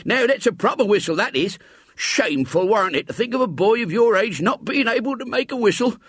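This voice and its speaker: A delighted old man chuckles and brags in rustic dialect, his voice pitched high and bouncing, as a male reader puts him on. It is husky and dry, recorded close with no room around it. A storybook character. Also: animated, fairly quick, a bit bright, dry recording, exaggerated voice. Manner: country dialect, cheery.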